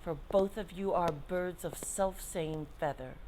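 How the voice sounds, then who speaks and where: A woman's voice: fairly low pitch, measured pace, darkish tone, lightly acted, rough recording. An actress playing a proud, grieving exiled queen in a Shakespearean drama, speaking in a formal, declamatory way. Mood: indignant. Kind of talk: Shakespearean stage-style audio drama acting.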